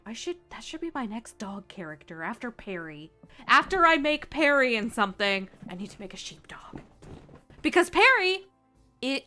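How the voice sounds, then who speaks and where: Female livestream host, animated pitch, bright tone, informal chat, faint music bed. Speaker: streamer hosting.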